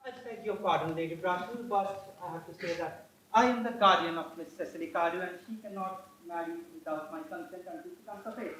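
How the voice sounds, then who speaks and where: A stage performer playing a stiff, formally polite and aggrieved Victorian gentleman guardian in a comedy, with halting, fussy delivery. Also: medium pitch, slight background noise, natural voice. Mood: polite, firm. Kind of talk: theatrical stage comedy acting. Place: indoor stage, far from microphone. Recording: very echoey distant stage play recording.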